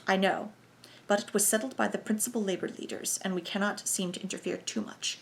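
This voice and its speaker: A woman voicing a confident German conspirator with a clear voice and a brisk, businesslike manner. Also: sits high, level tone, a little hiss or hum.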